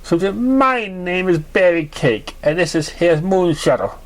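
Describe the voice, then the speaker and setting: A man's voice: sits high, animated, a little slow, voice pushed up. A man voicing a bubbly, chatty young pony mare in an amateur story reading, gushing and cheerful.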